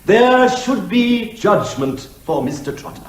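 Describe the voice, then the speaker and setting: An actor playing a fawning, long-winded barrister in a British comic radio drama, with an English accent and an over-polite manner. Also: male voice, sits high, sing-song, slow, full character voice. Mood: obsequious. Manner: oily, over-polite, circumlocutory. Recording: roomy, echoing courtroom-like sound.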